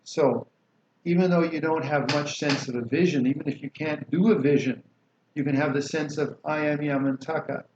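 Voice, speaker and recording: A man teaching a Buddhist practice, calmly explaining and reciting liturgy with slow chanted mantras. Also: a little high. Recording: roomy, dull lo-fi recording with faint hiss.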